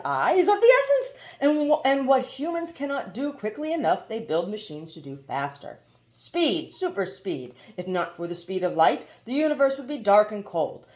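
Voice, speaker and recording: A woman voicing a brisk, talkative mechanical hoopoe bird in a storybook, lecturing emphatically with some stuttering. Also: mid-range voice, a little mellow, close to an everyday voice. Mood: excited lecturing. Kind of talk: storybook character voice.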